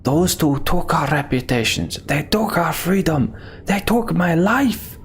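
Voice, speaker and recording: A man voicing a vengeful warrior consumed by rage, speaking slowly with cold menace. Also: on the high side, expressive, slight background noise, voice pushed up. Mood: threatening. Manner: cold, threatening, slow.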